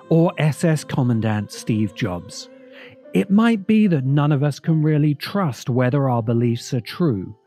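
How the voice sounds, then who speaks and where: A man's voice: mid-range voice, dark tone. A man reading scripted narration as the narrator of a video essay.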